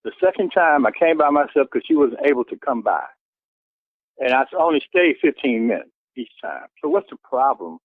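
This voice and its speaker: A man's voice: medium pitch, very animated, normal speed, no echo. A man arguing over the phone, indignant and repeating himself insistently. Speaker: church deacon receiving a phone call. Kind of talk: heated phone argument. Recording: tinny narrow phone line.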